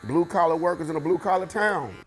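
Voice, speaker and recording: A man, a barber, giving relaxed interview chat about his shop. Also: a little high, a little fast, faint music behind, poor audio.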